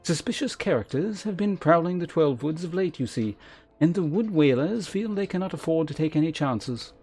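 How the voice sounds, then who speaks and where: A man voicing a courteous, formal innkeeper who mentors adventurers in a fantasy game, speaking in measured, archaic phrases. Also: fairly high pitch, expressive, a little mellow, breathy edge, soft background music, voice pushed up. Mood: courteous, welcoming. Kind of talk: read-aloud game character dialogue.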